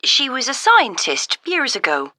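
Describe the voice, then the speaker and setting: A woman's voice: sits high, wildly swooping pitch, a little slow, pushed and bright, no room sound, clean studio sound, heavily acted. A woman playing a friendly, enthusiastic holidaymaker making a polite enquiry in a scripted listening-test phone dialogue. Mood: keen, friendly. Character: keen, friendly woman caller planning a holiday.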